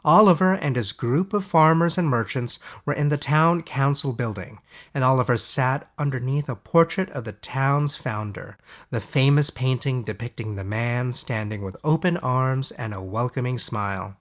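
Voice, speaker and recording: A man reading scripted fairy-tale audiobook narration in a measured storytelling manner. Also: medium pitch.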